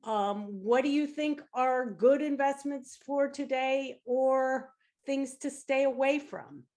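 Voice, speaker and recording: A woman with a fairly high voice speaks very slowly and deliberately, weighing her words as she adds cautions to the conversation. She sounds like a co-host leading the discussion and putting questions to guests. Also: fairly even intonation, darkish tone. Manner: measured, cautionary. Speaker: podcast co-host. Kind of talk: casual finance talk.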